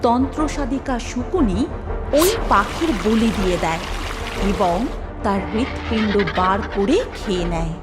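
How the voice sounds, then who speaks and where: A woman's voice: mid-range voice, measured pace, over loud music. A woman narrating a scripted cartoon story as a storyteller.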